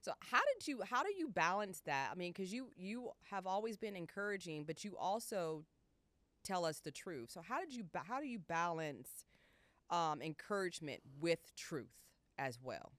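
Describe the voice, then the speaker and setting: A woman's voice: fairly low pitch, animated, moderate pace, no room sound. A woman hosting a podcast interview, affirming her guest and asking questions with small restarts. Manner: warm affirming listener, asks in restarts. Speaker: podcast host. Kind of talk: casual interview.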